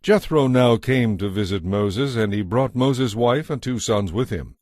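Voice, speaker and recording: A man narrating a dramatized Bible from a script in a measured storytelling manner. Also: mid-range voice, a little mellow, dry recording, clean studio sound.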